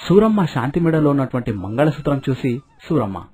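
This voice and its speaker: A man's voice: medium pitch, very animated, brisk, dark tone, breathy edge. A man reading out a scripted story as a storyteller. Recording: narration over background music.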